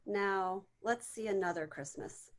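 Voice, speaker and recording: A woman playing a calm, firm spirit guide in an amateur table read of a Christmas ghost story. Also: mid-range voice, unhurried, lightly acted.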